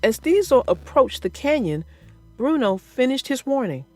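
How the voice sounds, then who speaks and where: Casting: expressive woman for children's read-aloud narration, lively and high-pitched. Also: normal speed, no echo, soft background music. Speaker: storybook narrator.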